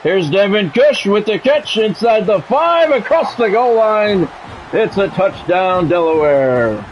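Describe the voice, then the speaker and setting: Higher-voiced American man calling a game. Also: sing-song, slow pace, dull audio, slight background noise. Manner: conversational, switching into punchy announcer-style calls. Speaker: streamer, sports video game play-by-play announcer. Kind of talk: sports commentary mixed with casual chat to stream viewers.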